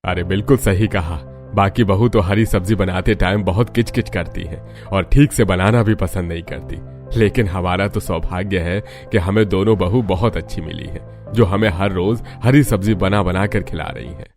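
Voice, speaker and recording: A man's voice: deep voice, normal speed, darkish tone, soft background music. A man voicing a contented middle-aged father-in-law in a Hindi family cartoon. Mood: pleased.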